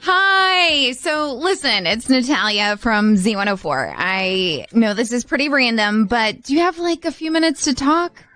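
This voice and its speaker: A woman's voice: fairly high pitch, expressive, unhurried, few pauses, pushed and bright. A woman hosting a radio call-in show, chatting casually with an informal, sympathetic manner.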